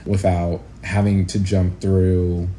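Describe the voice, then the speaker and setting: Man, deep voice, soft-edged tone, casual interview talk, halting and thoughtful, slight noise. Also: level tone. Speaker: interview guest, actor-producer and educator.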